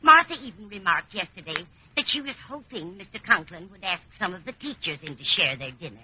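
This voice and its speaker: A woman's voice: on the low side, lively intonation, brisk, sharp tone, dull audio. Lilting, motherly radio landlady. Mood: cheerful, soothing. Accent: American. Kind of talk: 1940s-50s radio comedy acting. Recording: noisy, band-limited old radio recording.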